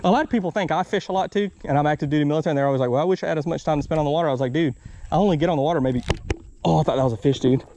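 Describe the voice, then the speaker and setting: A man chats casually as he films himself fishing from a boat, with an informal, animated way of talking. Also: a little high, very fast. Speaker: fishing vlogger. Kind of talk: casual chat while fishing. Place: outdoors on a small boat on a river. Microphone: body-worn action camera. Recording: outdoor noise, action camera on boat.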